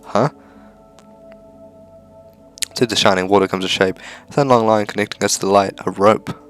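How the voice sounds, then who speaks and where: A man's voice: lowish voice, fairly even intonation, normal speed, soft background music. A man reading a visual novel aloud for a let's play, with casual asides.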